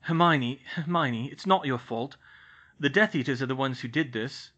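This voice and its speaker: A man voicing a gentle, reassuring father. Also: medium pitch, moderate pace, lo-fi sound, close to an everyday voice. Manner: soothing, reassuring.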